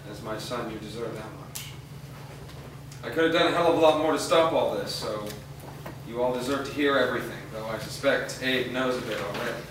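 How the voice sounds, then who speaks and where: A man's voice: medium pitch, brisk, fairly bright tone, lightly acted. A man playing a weary, remorseful aging father in a staged reading of a drama. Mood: weary, confessional. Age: older. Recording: echoey room, distant mic, faint noise.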